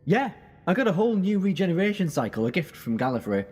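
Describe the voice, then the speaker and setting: A man's voice: high-pitched, sing-song, fast, a little mellow, husky, full character voice. A man acting in an audio drama as an intense, protective, heroic time-travelling alien. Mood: earnest. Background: music.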